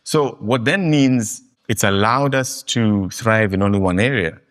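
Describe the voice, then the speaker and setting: A man from Kenya, a guest in a fireside interview, telling stories in an animated, emphatic way. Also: fairly low pitch, unhurried. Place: live meetup venue.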